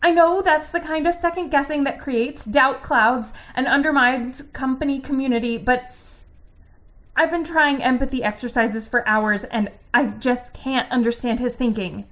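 A woman's voice: high voice, moderate pace, fairly bright tone. A woman playing an earnest, anxious and loyal company employee, talking herself through doubts in an audio drama monologue. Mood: anxious, doubting. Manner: earnest, self-doubting, reciting corporate slogans.

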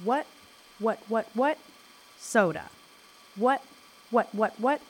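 A woman playing a dreamy, self-absorbed amateur music maker in an audio drama, with a deadpan, rhythmic manner. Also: on the high side, slow pace. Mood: trance-like chanting.

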